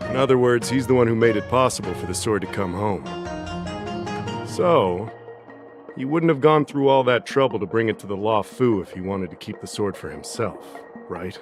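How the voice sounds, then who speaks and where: An actor playing a shrewd, insistent investigator in a video game, deliberate and logical as he reasons and questions. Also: lowish voice, close to an everyday voice. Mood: measured, reasoning. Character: shrewd, insistent male investigator pressing an accusation. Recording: music behind the voice.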